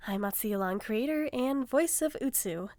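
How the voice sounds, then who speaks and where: Woman, fairly high voice, dry close sound, casual outro, show creator reading thanks and credits. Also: expressive, moderate pace, a little mellow, dry recording.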